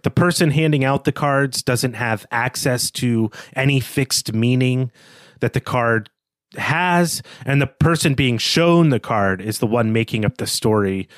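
A man's voice: mid-range voice, fairly bright tone, no echo, clean studio sound. A man co-hosting a literary discussion podcast, talking in a reflective, analytical way. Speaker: podcast co-host.

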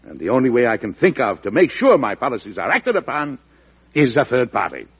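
An actor playing a principled older American president in a radio drama, speaking in a formal, deliberate, oratorical way. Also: male voice, medium pitch, very animated, husky. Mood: earnest, resolute. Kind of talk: 1940s radio drama acting. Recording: dull vintage radio recording.

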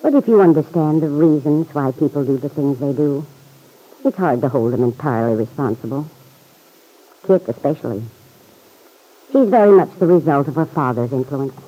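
An actress playing a warm, forgiving middle-aged small-town mother in an old-time radio serial. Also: low-pitched, expressive, normal speed, long pauses, slightly rough. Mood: gentle, concerned. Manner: gentle, forgiving, chuckling. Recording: vintage radio recording, muffled.

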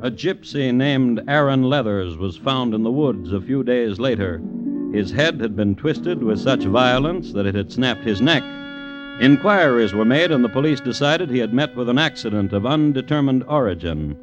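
A man narrating a 1950s radio crime drama as its host, in a measured, literary storytelling manner. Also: medium pitch, expressive. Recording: muffled old radio recording with music behind.

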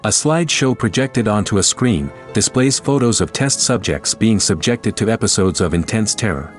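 A man narrating a scripted audio drama in a measured, descriptive, steady way. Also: on the low side, faint music behind, lightly acted.

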